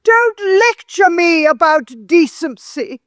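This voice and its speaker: A woman's voice: very high-pitched, animated, takes it slowly, dry recording, studio quality, heavily acted. An actress playing a bitter, manipulative older mother-in-law in an audio drama, theatrical and guilt-tripping. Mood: indignant.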